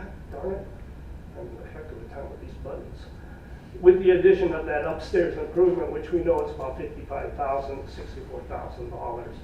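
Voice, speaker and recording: A man's voice: a little high, warm tone, slight background noise. Man presenting in an echoey meeting room. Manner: plain, folksy, halting with fillers. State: losing his voice. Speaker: staff presenter at a public meeting. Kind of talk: presenting cost estimates. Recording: echoey room from far off, dull.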